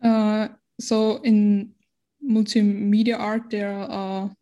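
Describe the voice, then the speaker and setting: Young Austrian woman, non-native English, interview guest; measured, halting, level delivery. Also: medium pitch, flat delivery, warm tone, muffled recording. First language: not English. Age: 20s. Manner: hesitant, searching for words, many restarts. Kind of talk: casual interview conversation.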